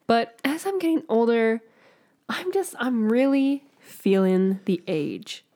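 A woman's voice: a little high, slow pace. Giggly, candid young woman co-host. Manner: candid, giggly, animated storytelling. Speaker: podcast co-host. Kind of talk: casual podcast chat.

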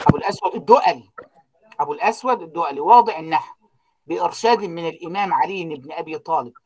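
A man giving an online university lecture, trailing off so students fill in the words, with frequent drawn-out fillers. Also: on the high side, a little mellow, husky, rough recording. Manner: keeps quizzing students with unfinished sentences, many drawn-out fillers.

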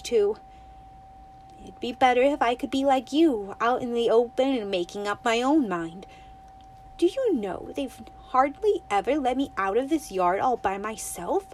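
Fields: Figure: woman playing a restless young girl. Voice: high, wistful. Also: a little hiss or hum, lightly acted. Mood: earnest. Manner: pleading, then defiant.

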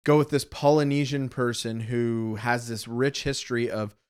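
A man's voice: medium pitch, fairly even intonation, moderate pace, a little mellow, smooth. Rambling, reflective Canadian man. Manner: reflective, rambling, many fillers. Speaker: film podcast co-host. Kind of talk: casual movie review chat.

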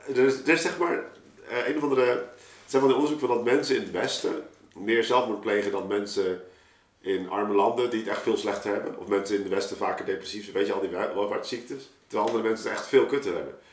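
Casting: man, lower-pitched voice, informal slangy podcast guest who meanders as he reflects, roomy echo on the recording. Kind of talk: casual reflective group conversation. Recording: echoey room.

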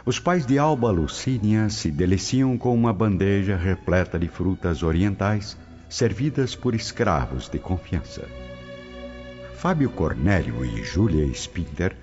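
A man's voice: low voice, expressive, unhurried, a little mellow. A man with a Brazilian accent narrating a radio soap opera in a solemn, theatrical, scripted style. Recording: dull, band-limited audio with music. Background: music.

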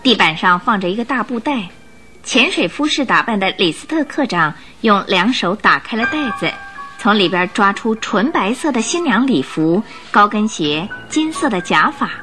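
A woman's voice: medium pitch, sing-song, normal speed. A woman narrating a radio drama in a scripted, dramatic storytelling style. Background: music.

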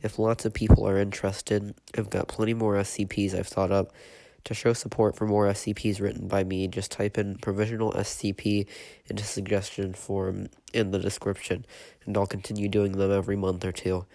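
A man hosting a podcast, stuffy from a cold, reading a written horror report in a steady, matter-of-fact way with a few hesitations. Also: on the low side, brisk, creaky, no echo. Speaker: podcast host and narrator.

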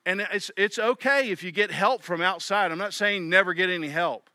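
A middle-aged pastor giving a sermon in a conversational way, often voicing the thoughts of others. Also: male voice, high-pitched, moderate pace, pushed and bright, dry recording, studio quality. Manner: conversational preaching with quoted inner voices.

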